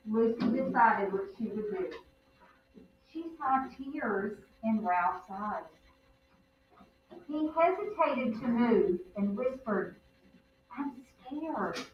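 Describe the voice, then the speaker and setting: A woman reading a picture book aloud to children in a deliberate, emphatic, teacherly way, pausing to put questions to her listeners. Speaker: children's read-aloud storyteller. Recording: roomy, distant, dull low-fi with faint noise.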